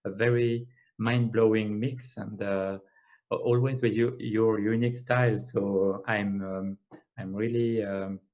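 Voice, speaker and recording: Casting: man from swiss romandie, thick french accent, lowish voice, halting with lots of uh. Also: fairly even intonation, darkish tone, highs cut off. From Switzerland. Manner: hesitant, many uh fillers, enthusiastic. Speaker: panel guest. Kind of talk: casual video call panel.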